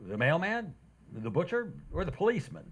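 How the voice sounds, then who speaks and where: A man plays an incredulous husband in a radio comedy. His husky voice swings extremely up and down as he questions everything in exasperated confusion. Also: a little high, big pitch swings, a little fast, a bit bright, exaggerated voice. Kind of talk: old-time radio comedy acting.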